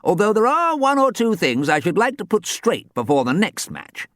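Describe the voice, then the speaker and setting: A man doing the voice of a prim old ghost coach in a children's audiobook, formal and a little pompous. The voice swings widely up and down in pitch, and the recording is close and dry. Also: sing-song, normal speed, a bit bright, dry recording. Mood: stern. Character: old ghostly football coach, fussy and proud.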